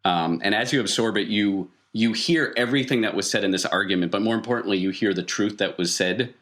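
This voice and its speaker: A man narrating a tabletop roleplaying game as game master, in a conversational, halting way with vivid colloquial detail. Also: fairly low pitch, a bit bright.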